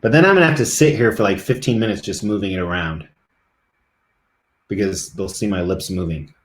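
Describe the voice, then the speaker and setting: A man's voice: fairly low pitch, fairly quick, reverberant. A middle-aged man live streaming, chatting casually and rambling to his viewers.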